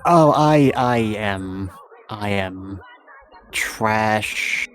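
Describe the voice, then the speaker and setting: A man chatting casually as the guest in a livestream interview, wordy and self-deprecating, often halting mid-phrase. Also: on the low side, lively intonation, a little hiss or hum.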